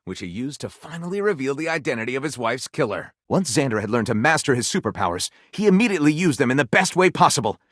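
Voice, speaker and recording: A grandiose storyteller from a video game, swooping dramatically through lurid, absurd melodrama. The delivery is highly animated and suggestive, with big swings in pitch, recorded close and very dry. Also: male voice, fast, few pauses, no echo. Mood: melodramatic, gleeful. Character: theatrical, salacious storyteller narrating absurd melodrama. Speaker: game character voice actor. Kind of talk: dramatic scripted narration.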